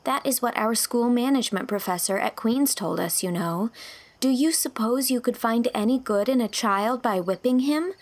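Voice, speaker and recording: A woman's voice: a little high, normal speed, pitched above the performer's own voice. A woman voicing an earnest, idealistic young female teacher in an audiobook, speaking emphatically and pleadingly. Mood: firm, idealistic. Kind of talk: audiobook character dialogue.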